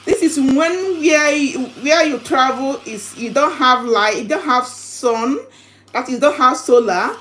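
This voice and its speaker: Woman doing a casual unboxing, really slow n halting, bit high pitched, repeats herself explaining stuff. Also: background noise. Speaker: vlogger presenting product unboxing. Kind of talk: casual unboxing vlog chat.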